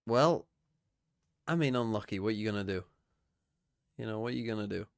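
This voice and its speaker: A man's voice: on the low side, normal speed, vocal fry, no room sound, studio quality. A man streaming live, chatting casually and muttering to himself as he plays. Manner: muttering to himself while playing.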